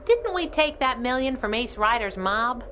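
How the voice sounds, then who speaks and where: An actress playing a cocky, sassy superhero sidekick in a pulp radio drama, with a cheeky, teasing manner. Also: female voice, on the high side, expressive, normal speed, pushed and bright, slight background noise. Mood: cheeky, brisk. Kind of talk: radio drama acting in a pulp serial style.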